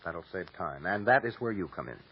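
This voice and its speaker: An actor playing an authoritative defense lawyer in a 1940s radio drama, brisk and calm. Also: male voice, low-pitched, slightly rough. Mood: explaining. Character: confident male defense lawyer, calm planner. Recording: dull old radio recording.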